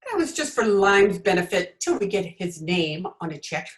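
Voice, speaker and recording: A woman's voice: fairly low pitch, very animated, normal speed. A woman playing a vain, ambitious companion of a dictator, blunt and coquettish, in an old-time radio comedy. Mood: blunt, dismissive. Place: home room, recorded over video call.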